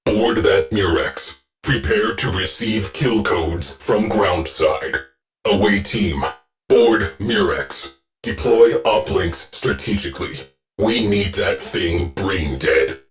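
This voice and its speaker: An actor playing a grave male ship AI who gives clipped, measured military reports in a video game. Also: fairly low pitch, music in the background. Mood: steady. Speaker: ship's command AI.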